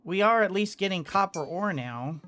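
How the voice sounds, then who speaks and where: Casual let's play host, mid pitch voice, halting and mulling stuff over, noisy background. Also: expressive, fairly bright tone. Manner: hesitant, halting, thinking aloud with repeated words. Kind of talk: casual video game commentary.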